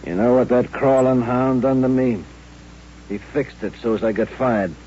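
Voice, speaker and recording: An actor playing a drunken, aggrieved cowboy spoiling for a gunfight, blustering and threatening in old-time radio western style. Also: male voice, mid-range voice, moderate pace, mellow, close to an everyday voice. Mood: belligerent. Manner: blustering, threatening frontier talk. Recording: dull, noisy, humming old radio recording.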